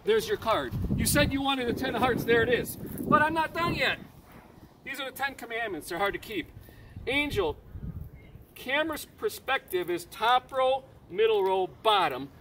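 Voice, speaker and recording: A man in his fifties with a high voice performs a card trick outdoors, counting aloud and quizzing the kids around him in a chatty showman way. He sounds like he is caught by a camera mic a few meters off. Loud street noise runs under everything. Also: expressive, moderate pace, distant mic. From Chicago. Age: 50s. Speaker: street magician and preacher. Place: residential street outdoors, kneeling on the sidewalk. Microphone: camera mic from a few meters away.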